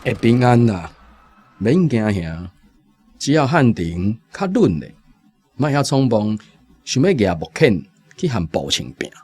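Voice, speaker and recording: An actor playing a knowing, philosophical fortune teller in a radio drama, with a Taiwanese accent. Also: male voice, mid-range voice, very animated, a little slow, a little mellow, husky. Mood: reassuring, cautioning.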